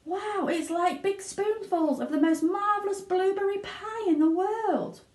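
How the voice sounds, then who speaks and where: A woman voicing a gleeful, boastful young gum-chewing girl in a storybook, gushing with excitement. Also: extremely high pitch, measured pace, echoey room, voice pushed up.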